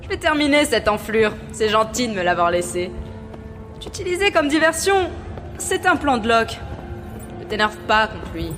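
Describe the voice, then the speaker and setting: A woman's voice: on the high side, big pitch swings, normal speed. A woman playing a bold, frank gang leader with a commanding manner in an audio adventure drama. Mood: firm, frank. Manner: commanding, assertive. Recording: loud music behind the voice.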